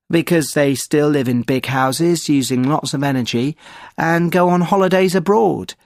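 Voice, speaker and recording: Man, slightly high voice, measured, thoughtful, opinionated, scripted exam answer, dry close sound. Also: no room sound. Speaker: exam candidate in sample answer.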